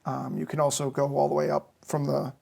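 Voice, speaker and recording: A man's voice: fairly high pitch, monotone, brisk, creaky, darkish tone. A young man from Massachusetts, a medical student answering interview questions with careful, hesitant explanations. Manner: explanatory, hesitant with frequent ums.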